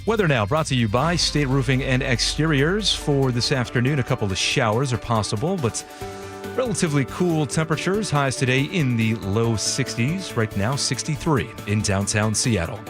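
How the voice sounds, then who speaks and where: A man reading radio news, traffic and weather in a brisk, scripted way. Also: mid-range voice, expressive, over music. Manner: brisk, clear newsreader delivery. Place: radio studio.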